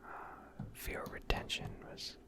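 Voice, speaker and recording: Whispering woman doing ASMR game commentary. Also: a little slow. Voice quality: breathy unvoiced whisper. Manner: rambling, unscripted, self-deprecating. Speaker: gaming video creator.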